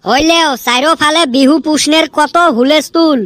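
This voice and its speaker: Lady doing a nagging greedy cartoon youngster, super high bright voice, always complaining. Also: steady tone, normal speed, no echo. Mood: whiny pleading. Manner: whining, complaining, scolding. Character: whiny, cheeky, food-obsessed village youngster craving pitha cakes. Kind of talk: comic cartoon dialogue in village dialect. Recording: music bed under the voice.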